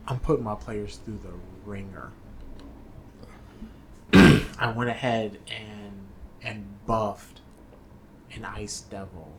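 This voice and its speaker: A man co-hosting a podcast, speaking in casual, conversational banter. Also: fairly low pitch. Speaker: podcast co-host. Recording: faint ambient room noise.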